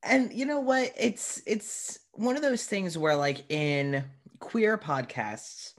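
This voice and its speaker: A man's voice: sits high, lively intonation, slow. A man hosting a podcast, chatting casually and often rephrasing as he speaks. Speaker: podcast host interviewing a guest. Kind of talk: casual interview chat.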